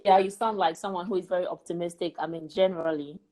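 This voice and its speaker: A woman hosting a podcast, asking friendly, curious questions in a relaxed interview. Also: lowish voice, fast. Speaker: podcast host.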